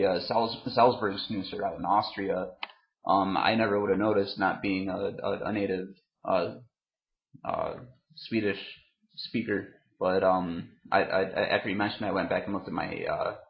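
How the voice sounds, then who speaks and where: A man in his thirties talks through a product review with a lowish voice full of fry. He hesitates and doubles back on words as he rambles unscripted. The sound is dull and clearly roomy, like a webcam at home. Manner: halting, hesitant, repeats words. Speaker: vlogger reviewing tobacco products. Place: room at home, indoors.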